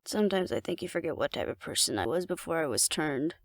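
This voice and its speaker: Low, gravelly, cocky werewolf woman, acted out by a woman. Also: expressive, fast talker, no room sound, clean studio sound. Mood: brooding, guarded. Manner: wry, teasing, confident, sighing. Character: cocky, flirtatious female alpha werewolf, street-hardened and teasing. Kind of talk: intimate audio roleplay acting addressed to the listener.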